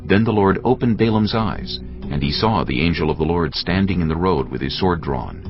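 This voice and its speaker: A man's voice: sits low, lively intonation, normal speed. A man reading scripted narration for a dramatised audio Bible. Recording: dull audio under loud music.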